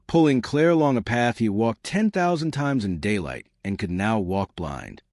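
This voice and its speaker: A man's voice: fairly low pitch, very animated, normal speed, a little mellow, dry recording, studio quality. A man narrating a scripted dramatic story as a suspenseful storyteller who speaks straight to the listener. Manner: suspenseful, addressing listeners directly.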